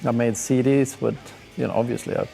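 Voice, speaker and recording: A man's voice: mid-range voice, steady tone, brisk, vocal fry, mellow, soft background music. A young man from Sweden, speaking, gives laid-back, slangy, enthusiastic commentary while reacting to ski clips. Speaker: pro skier.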